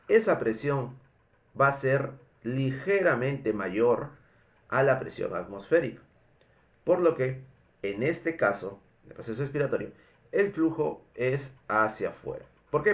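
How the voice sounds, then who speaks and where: A man with a Peruvian Spanish accent and a congested voice, giving an informal, didactic medical lecture with frequent repetition and checking questions. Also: medium pitch. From Peru. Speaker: medical instructor.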